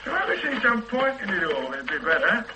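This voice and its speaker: An actor playing a wry, sardonic police sergeant in a radio drama. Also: male voice, high voice, big pitch swings, normal speed, background noise. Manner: dry and sardonic.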